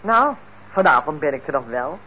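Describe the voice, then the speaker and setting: A woman's voice: sits low, very animated, normal speed, bright, forceful tone, slightly rough, full character voice. An actress playing a homely, coaxing middle-aged mother in a radio drama, casual and a little evasive. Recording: muffled old radio recording, faint noise.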